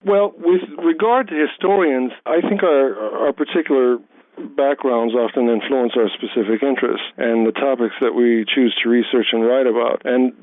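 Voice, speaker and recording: A middle-aged man whose first language is German answers in a measured, hesitant way, often repeating words. His voice comes through a thin, phone-like line with no room echo. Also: mid-range voice. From Germany, raised in Hawaii. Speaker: history professor guest. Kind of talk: interview answers. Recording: tinny phone-like line, dry.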